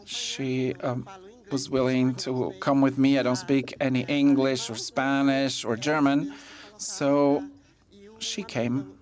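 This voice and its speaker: Slow, measured conference interpreter. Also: medium pitch, level tone, darkish tone. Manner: measured, with pauses and fillers. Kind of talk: live interpretation of a talk.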